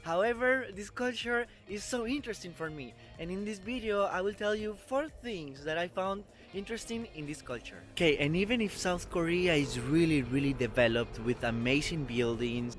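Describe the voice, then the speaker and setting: 20s guy from costa rica, earnest careful english, clear music bed behind. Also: a little high, fairly bright tone. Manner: earnest, careful non-native English with small grammar slips. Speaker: vlogger. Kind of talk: explanatory travel vlog. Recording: music playing underneath.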